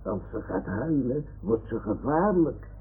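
Boastful toy lion, husky, swooping. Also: male voice, mid-range voice, sing-song, unhurried, exaggerated voice. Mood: matter-of-fact. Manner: self-important, boastful, declarative. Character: boastful toy lion posing as sea lion and retired sea captain. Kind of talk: 1960s-70s Dutch radio drama acting. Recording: muffled, phone-like old radio recording.

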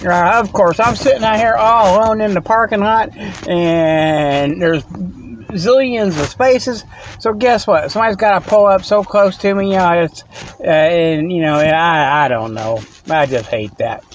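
A man with a slightly high voice rambles in a folksy, easygoing way, laughing often and tagging his points with "okay?". He talks into a tablet from a parked car. Faint outdoor noise sits behind him. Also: measured pace, poor audio. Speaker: host of a comedy podcast segment. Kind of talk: rambling casual monologue. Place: in a parked vehicle in a parking lot.

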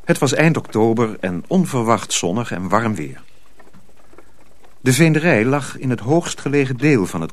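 Low, expressive male storyteller. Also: slight background noise. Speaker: narrator. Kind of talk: scripted storybook narration in a radio play.

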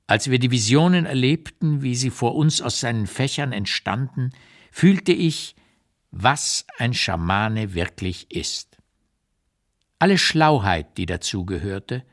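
An actor playing an elderly émigré writer who looks back candidly, reading his memoir in a deliberate, emphatic literary radio play narration. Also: medium pitch.

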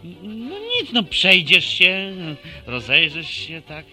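A man's voice: high-pitched, huge pitch swings, slow, bright, forceful tone, faint music behind, exaggerated voice. An actor playing a scheming, bossy young village man who cajoles and teases in colloquial rural speech in a radio drama. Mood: cajoling. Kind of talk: Polish radio drama acting.

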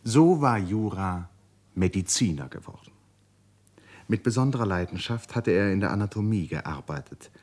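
A man with a husky voice giving measured, serious literary narration for a radio drama. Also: lowish voice, animated, a little mellow.

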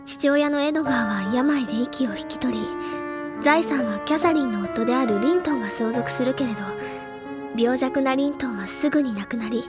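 Woman voicing a high-pitched bookish schoolgirl over music. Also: natural voice. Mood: solemn storytelling. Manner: deliberate, literary, feminine phrasing. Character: bookish high-school senior girl, earnest, imaginative storyteller. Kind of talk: Japanese audio drama acting, dramatic explanatory monologue. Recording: loud music bed behind the voice.

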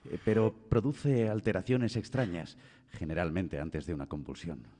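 An actor with a Castilian Spanish accent playing an authoritative, reassuring doctor in a radio drama. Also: male voice, low voice, fairly even intonation, moderate pace, warm tone. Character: calm, authoritative male doctor reassuring a worried mother. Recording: reverberant studio radio drama.

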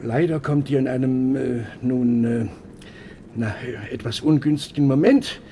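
A man's voice: medium pitch, a little slow, dark tone, lightly acted. An actor playing a flustered, evasive middle-aged father in a German radio play, stammering and overpolite. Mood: flustered, apologetic. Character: flustered, evasive, fussy father of grown children. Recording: noisy old radio recording.

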